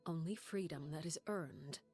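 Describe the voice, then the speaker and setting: A woman voicing a stern, commanding woman who heads a house of orphans, in hushed, near-whispered, measured game-cutscene dialogue. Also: sits low, faint music behind. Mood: grave calm. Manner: measured, grave, unsentimental. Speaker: game character.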